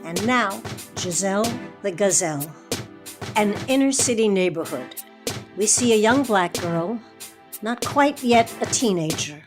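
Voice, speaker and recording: A woman's voice: medium pitch, expressive, a little slow. A woman with an American accent reading a scripted introduction to a play as its announcer. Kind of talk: scripted narration. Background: music.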